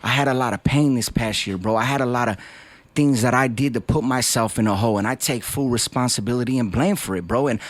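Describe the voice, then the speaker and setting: A man from West Covina speaks openly as a rapper guest, his voice sitting at an ordinary middle pitch. He is earnest and reflective and keeps tossing "bro" and "dog" into his sentences. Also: quick pace. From West Covina, California. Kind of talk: candid interview talk.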